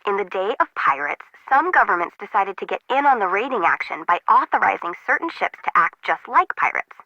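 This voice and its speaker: A woman's voice: mid-range voice, sing-song, fairly quick, pushed and bright, no echo, muffled recording, exaggerated voice. An actress playing a chatty, disorganized, slangy young female harbor worker in a video game, bubbly and wry. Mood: enthusiastic explaining.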